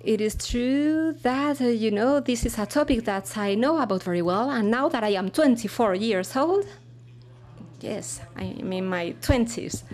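Woman, simultaneous interpreter, high pitch, hesitant delivery with fillers. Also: animated, a little mellow. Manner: halting, with fillers and frequent restarts. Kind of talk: live interpretation of a keynote talk.

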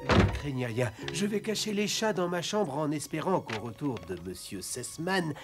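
An actor playing a kindly, formal household manservant in a French-dubbed cartoon. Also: male voice, on the high side, sing-song, normal speed. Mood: reassuring. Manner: formal, gently amused. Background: music.

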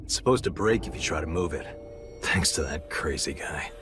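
A man playing a gruff, sardonic middle-aged detective in a dubbed video game, with a low voice and a dry, deadpan manner. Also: moderate pace, noisy background. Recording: music behind the voice.

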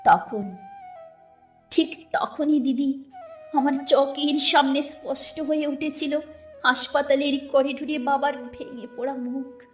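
A woman reciting a poem dramatically, with expressive, measured delivery and deliberate pauses. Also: sits high, faint music behind. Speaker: poetry reciter.

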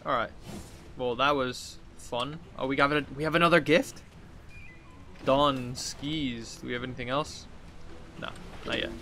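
A man's voice: medium pitch, steady tone, unhurried, pauses often, bright, forceful tone. A man in his thirties giving casual video game commentary as a streamer, thinking aloud in an informal way. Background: game music.

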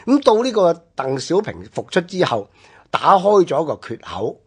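A man with a Hong Kong Cantonese accent explaining history on a radio programme in a halting, discursive way. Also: fairly high pitch, very animated, breathy edge. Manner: halting, discursive, with drawn-out phrase-ending particles. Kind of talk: unscripted explanatory history talk.

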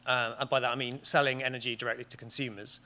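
A British man in his thirties speaks from a conference stage in a large panelled hall. His voice is bright and clear, and he talks at a measured pace, often pausing on "um" and restarting his sentences. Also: medium pitch, little pitch movement. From Great Britain. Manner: measured, with frequent ums and restarts. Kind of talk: conference presentation. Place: large wood-panelled auditorium.

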